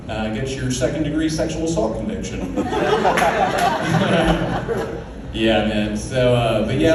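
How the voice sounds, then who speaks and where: A man's voice: mid-range voice, noisy background. A young man from Oklahoma doing a stand-up comedy set, with a laid-back delivery full of fillers. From Oklahoma, USA. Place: comedy club stage. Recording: very echoey club room from far off. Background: audience laughter.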